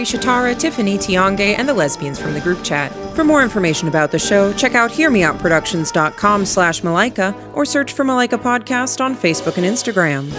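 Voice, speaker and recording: A woman announcer reads scripted closing credits. Her voice sits at an average pitch, and music plays prominently behind her.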